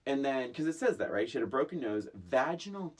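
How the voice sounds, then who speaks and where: Animated, blunt podcast co-host. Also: medium pitch, fairly quick, husky. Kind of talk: casual movie discussion.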